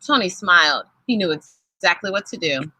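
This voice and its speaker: A woman's voice: fairly low pitch, moderate pace, slightly rough, dry recording. A woman reading a children's book aloud expressively, breaking off to chat and put questions to the children listening. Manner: animated, asks the children questions as she reads. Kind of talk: expressive read-aloud with conversational asides to children.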